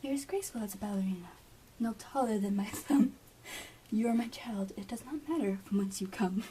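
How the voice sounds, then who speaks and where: A woman's voice: mid-range voice, a little fast, dark tone, voice pushed down. Woman playing a whispery adoring old mother. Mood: tender, awed. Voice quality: breathy, whispery.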